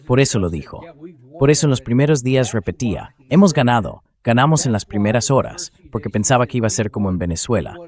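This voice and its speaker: A man's voice: on the low side, a little mellow. A man's synthetic dubbing voice delivering spoken political commentary as the host of a show.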